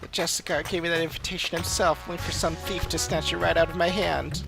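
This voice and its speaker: A man acts out a devoted follower from a fantasy video game. The delivery is indignant and earnest, with clear music behind it. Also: a little high, flat delivery, fast talker, pitched above the performer's own voice. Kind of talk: scripted video game dialogue.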